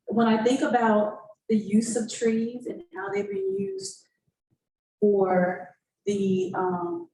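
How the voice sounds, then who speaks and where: A woman speaks as a panelist in an echoey, slightly noisy room. Her delivery is very slow and earnest, with pauses in the middle of phrases. The microphone is some way off. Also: mid-range voice, a little mellow. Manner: halting, pausing mid-phrase, earnest. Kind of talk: reflective panel remarks. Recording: echoey room, distant mic, background noise.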